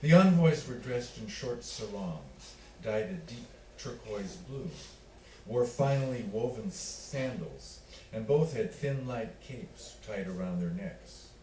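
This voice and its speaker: Mellow man reading fiction, roomy and distant. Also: lowish voice, expressive. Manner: measured, deliberate phrasing with pauses. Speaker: author reading own work. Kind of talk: literary reading of narrative fiction. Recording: roomy, distant miking with faint noise.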